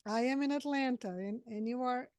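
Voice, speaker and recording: A woman from Brazil, Portuguese her first language, hosting an interview over a video call and speaking in halting phrases with frequent restarts. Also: a little high, darkish tone, no echo. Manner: halting, many restarts and fillers. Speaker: podcast host. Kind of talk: casual interview chat.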